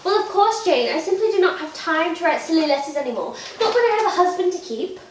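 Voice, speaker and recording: High female voice, vain petulant young Regency wife, affected genteel phrasing, theatrical period monologue, echoey distant recording. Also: animated, fast. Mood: excited, dismissive. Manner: affected, dramatic, genteel old-fashioned phrasing. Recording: echoey room, distant mic, faint noise.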